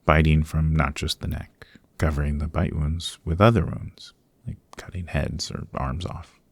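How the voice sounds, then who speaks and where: A man playing a playful, theatrical vampire over a century old in a chatty ASMR roleplay, with a gravelly, husky voice and rambling asides. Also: a bass voice, fairly even intonation, unhurried, dry recording, full character voice. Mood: confiding, storytelling. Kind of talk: ASMR roleplay, close and conversational. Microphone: close, dry microphone.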